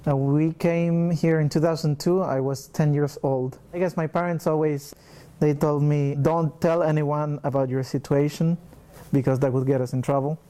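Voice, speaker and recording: A man in his twenties from El Salvador, a native Spanish speaker, talking in a reflective, soft-spoken way in an interview. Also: on the high side, fairly even intonation, moderate pace. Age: 20s.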